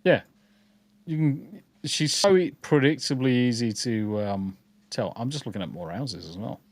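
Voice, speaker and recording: Man, podcast co-host, mid-pitched voice, joking and swearing, dry close sound. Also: lively intonation, moderate pace, dry recording. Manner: joking, greets chat viewers, swears freely. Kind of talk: casual livestream chat.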